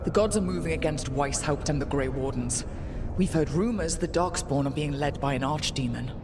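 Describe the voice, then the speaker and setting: A man's voice: a little high, normal speed, background noise, natural voice. A man playing an earnest young elven adventurer in a fantasy game, speaking plainly and directly. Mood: urgent, frustrated. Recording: music under the voice.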